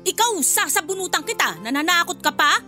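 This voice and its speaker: Shrill, bossy Filipina household tyrant. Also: female voice, sing-song, brisk, sharp tone, full character voice. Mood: irritated, threatening. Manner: scolding, haughty, threatening. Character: bossy, hot-tempered, spiteful woman of the household. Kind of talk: Filipino radio drama acting. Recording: background music under voice.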